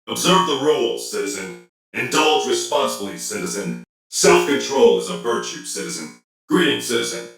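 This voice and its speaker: An officious security robot from a video game, formal and clipped. The voice is impersonal and roomy, set a little back from the mic, with loud guitar music playing. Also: male voice, medium pitch, sing-song, normal speed, recorded from a distance. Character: stern, officious security robot reciting orders. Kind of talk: video game character lines.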